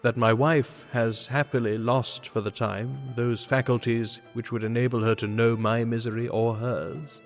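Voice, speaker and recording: A man's voice: mid-range voice, flat delivery, a little slow, few pauses. A man giving a grave, theatrical reading of a literary story as an audiobook narrator. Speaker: audiobook reader. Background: background music.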